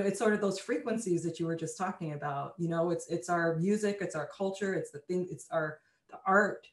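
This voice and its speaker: A woman from Canada speaking as a panelist, conversational and frequently using "you know". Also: on the low side. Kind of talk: panel discussion. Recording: echoey room.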